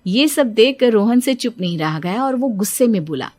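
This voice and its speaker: A woman's voice: a little high, animated, normal speed, a little mellow. A woman narrating a scripted cartoon story as its storyteller.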